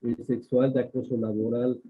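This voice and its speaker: An older man with a Mexican accent, a doctor answering interview questions in a measured way, pausing often and restarting his sentences. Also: medium pitch, level tone. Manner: measured, many hesitations and restarts. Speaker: ophthalmologist guest. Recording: tinny phone-like line.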